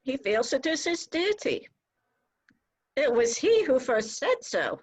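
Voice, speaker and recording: Devoted upper-class wife, played by a woman, unhurried, fairly high voice with expressive swings, sincere period stage delivery. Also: heavily acted. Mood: troubled. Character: sensible, principled, devoted society wife. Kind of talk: theatrical dramatic reading of a period comedy.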